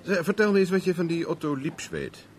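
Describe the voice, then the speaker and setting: An actor in a 1970s radio drama playing a flippant, sardonic private investigator who talks in dry, laconic, teasing replies. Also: male voice, on the high side, a little fast, lightly acted. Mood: casual, probing. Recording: slightly noisy old radio recording.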